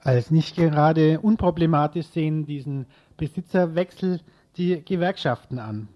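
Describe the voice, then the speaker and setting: A male radio reporter with a fairly high-pitched voice reads a prepared news report. The delivery is scripted and informational, built on full written sentences. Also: normal speed, highs cut off.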